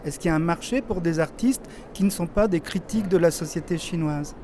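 Male interviewer, higher pitch, warm mellow tone, measured questions, light street noise. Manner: long measured questions with fillers.

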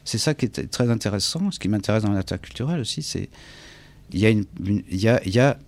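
Low-voiced Frenchman, hesitant and reflective. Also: animated, fast talker, no echo. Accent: French from France. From France. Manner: hesitant, searching for words, repeating himself. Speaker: interview guest, English teacher and author. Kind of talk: reflective interview conversation.

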